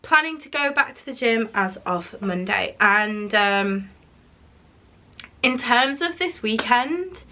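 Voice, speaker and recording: A woman's voice: fairly high pitch, lively intonation, slow, sharp tone, a little hiss or hum. A woman vlogging her weekly weigh-in in a rambling, casual way, sounding slightly nasal as she recovers from illness. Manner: chatty, rambling, many ums and restarts. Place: home office.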